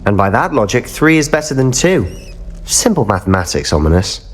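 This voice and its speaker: An actor playing a cocky, impatient teenage wizard schoolboy in a video game, with a brisk, wry delivery. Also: male voice, mid-range voice, big pitch swings, slight background noise, close to an everyday voice. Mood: wry, teasing. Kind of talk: dramatic video game voice acting. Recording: background music under voice.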